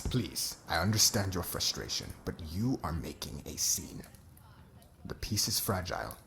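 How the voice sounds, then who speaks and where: Guy doing a serious formal diplomat in asmr roleplay, low voice, measured, bit of ambient noise. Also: a bit bright, heavily acted. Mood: urgent, restrained. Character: formal, earnest royal diplomat pleading for peace.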